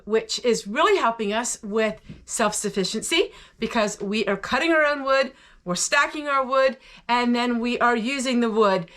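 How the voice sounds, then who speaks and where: A woman's voice: a little high, fairly bright tone. An older woman with an American accent, hosting a homesteading vlog and chatting to her viewers.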